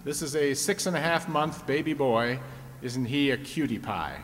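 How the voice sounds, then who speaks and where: A man's voice: medium pitch, normal speed, fairly bright tone, lightly acted. A man playing a genial, teasing game show host on a 1950s style radio audience show, with playful, folksy patter. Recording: echoey hall, clear background noise.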